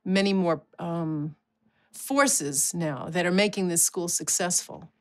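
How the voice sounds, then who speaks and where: A woman's voice: fairly low pitch, slow pace. A woman teacher speaking thoughtfully and conversationally in an interview. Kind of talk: casual interview.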